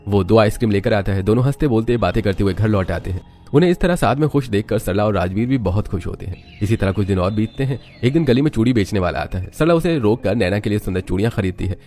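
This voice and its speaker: A man's voice: on the low side, expressive, fast, hardly any pauses, mellow. A man reading scripted narration for a moral family story.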